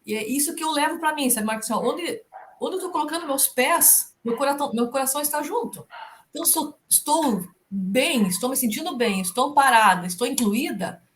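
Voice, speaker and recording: A woman's voice: high-pitched, lively intonation, fairly quick, a little hiss or hum. A middle-aged woman from southern Brazil, a professor chatting as an interview guest, tagging her sentences with "né?". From Rio Negrinho, southern Brazil. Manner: warm storytelling, frequent "né?" tags. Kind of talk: casual interview chat.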